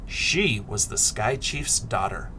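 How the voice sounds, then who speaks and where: A middle-aged man reading a picture book aloud expressively to children, deliberate and dramatic, often dropping into a hush. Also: lowish voice, expressive, noisy background. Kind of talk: expressive children's read-aloud with asides and questions.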